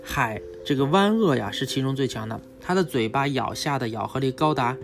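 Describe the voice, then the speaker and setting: A man's voice: medium pitch, lively intonation, fairly quick, creaky. A man, a father, explains things step by step to his young child in casual talk, often checking in with little questions. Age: adult, father of a young child. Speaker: father and host teaching his child about animals. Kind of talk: casual educational chat with a child, explaining from a book. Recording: music behind the voice.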